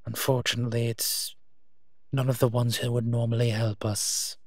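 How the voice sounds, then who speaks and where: A man playing a gentle, teasing monster who protects children, speaking softly in an intimate audio roleplay addressed to the listener. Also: mid-range voice, level tone, dry recording, clean studio sound, lightly acted. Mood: serious, concerned. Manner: soft-spoken, unhurried, playfully teasing.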